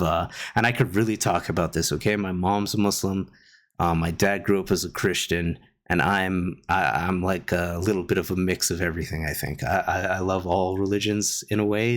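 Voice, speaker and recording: A man from Guyana giving a casual opinion monologue, rambling with many pauses and restarts. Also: deep voice. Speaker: commentator reacting to online drama. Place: Canada.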